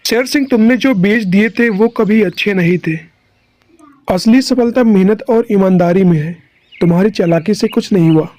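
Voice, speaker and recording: A man's voice: high-pitched, moderate pace, darkish tone, dry recording, natural voice. A man playing a poor, hardworking village farmer in a cartoon story, earnest and plaintive. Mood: firm.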